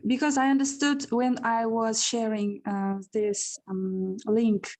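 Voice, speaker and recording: A woman's voice: fairly high pitch, flat delivery, slow, darkish tone. A woman asking a question on a video call, hesitant and often restarting her phrases. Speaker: audience member asking a question. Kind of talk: online Q&A question.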